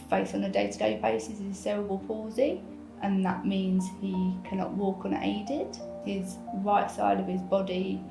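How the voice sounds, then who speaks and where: A woman in her thirties calmly telling her family's story in a short video, speaking gently with frequent ums. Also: mid-range voice, creaky. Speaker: parent interviewed in a video. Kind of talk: personal account in a documentary clip. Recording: roomy sound with background music.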